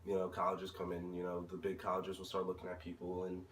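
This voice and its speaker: A young American man with a fairly low voice gives informal interview answers. He speaks hesitantly and searches for words. The recording is roomy and distant from the microphone, with faint noise. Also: completely flat delivery, fast talker, fairly bright tone. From United States.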